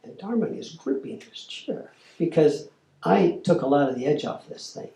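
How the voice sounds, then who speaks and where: An older man giving an anecdotal lecture, an animated storyteller who acts out quotes. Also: fairly high pitch, moderate pace, vocal fry, roomy sound. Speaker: guest lecturer. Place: lecture room.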